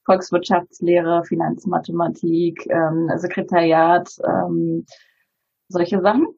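A woman in her thirties, a native Bulgarian speaker, talking in an interview with many pauses and fillers. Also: fairly low pitch, little pitch movement, vocal fry, a little mellow, husky, dull audio. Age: 30s. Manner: hesitant, many fillers and restarts. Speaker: interview guest. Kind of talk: casual interview talk.

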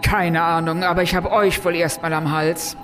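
A woman's voice: lowish voice, fairly even intonation, moderate pace, a bit bright, faint music behind, natural voice. A woman playing a foul-mouthed, sarcastic space outlaw in a science fiction audio drama, curt and mocking.

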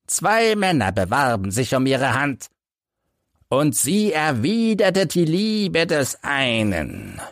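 Casting: male narrator as a crippled old veteran, bitter and emphatic, slow storybook delivery with swinging pitch. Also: no echo, studio quality, exaggerated voice. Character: embittered hunchbacked old ex-soldier telling his life story.

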